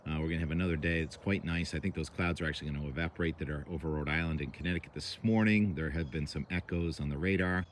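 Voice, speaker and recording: A man with a loud voice, chatting casually about the weather outdoors, rambling with lots of fillers, recorded on a camera mic with no lavalier. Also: a bass voice, fairly even intonation, fast, hardly any pauses, slight background noise. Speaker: weather vlogger. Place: outdoors by the water in the morning.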